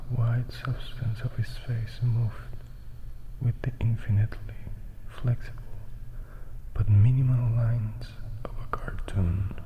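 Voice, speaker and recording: A man narrating a story as ASMR, reading softly and deliberately, phrase by phrase, in a whisper. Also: medium pitch, dull audio, noisy background.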